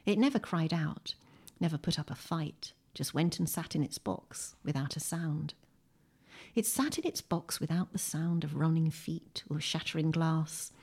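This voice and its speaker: Low-voiced, enthusiastic British woman. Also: a little mellow. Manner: enthusiastic, emphatic. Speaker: guest writer, poet. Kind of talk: chatty book discussion with readings aloud. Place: a room in a home.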